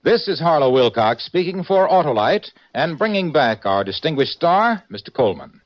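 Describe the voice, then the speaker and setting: A man delivering a polished, emphatic 1950s radio sponsor pitch as announcer. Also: very animated, moderate pace, dry recording. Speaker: commercial announcer. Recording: dull vintage radio recording.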